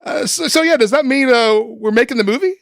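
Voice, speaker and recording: A man playing an eager, excitable film director pitching in a comedic amateur radio play, gushing and halting as he goes. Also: noisy background. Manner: gushing, halting salesman patter.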